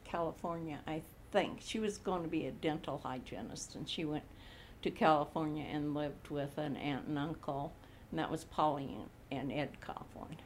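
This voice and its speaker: A woman in her eighties from Montana, recalling her childhood slowly in an oral history interview. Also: low voice, slight background noise. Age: 80s. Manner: slow, unhurried recollection with frequent pauses. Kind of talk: reminiscing in a recorded interview.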